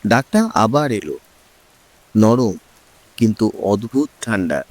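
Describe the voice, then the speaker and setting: A man narrating a horror story in a suspenseful, drawn-out, dramatic way, as the show's host. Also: fairly low pitch, very animated, no echo.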